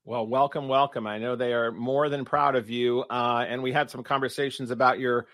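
A man's voice: medium pitch, steady tone, moderate pace, a bit bright, no room sound. Dry-sounding male podcast host. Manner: rambling questions with restarts and fillers. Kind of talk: podcast interview.

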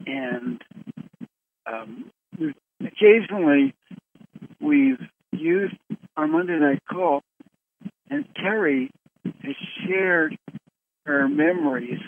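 A man hosting a conference call in a casual, rambling way, pausing often mid-sentence, heard over the telephone. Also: fairly high pitch. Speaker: host of a conference call show. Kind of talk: casual, rambling talk to callers. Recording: narrow phone line with background noise.

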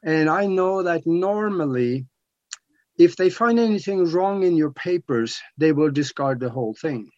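Norwegian guy in his 70s explaining stuff on talk radio, voice a bit high, muffled lo-fi sound. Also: lively intonation, unhurried, a little mellow, no echo. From Norway. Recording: dull lo-fi talk radio audio.

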